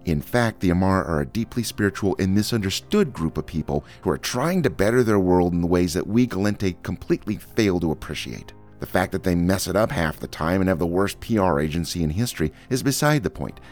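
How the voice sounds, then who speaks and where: A man's voice: deep voice, lively intonation, a little fast, few pauses, darkish tone, faint music behind, voice pushed up. A man voicing a bitter, sardonic male history teacher who lectures his class with scorn. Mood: lecturing.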